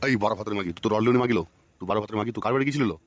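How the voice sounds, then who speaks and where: A man's voice: fairly low pitch, racing, dry recording, close to an everyday voice. A man voicing an angry, suspicious, foul-mouthed old village husband in a comic cartoon, cursing and scolding. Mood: accusing, furious. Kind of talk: comic Bengali cartoon voice acting.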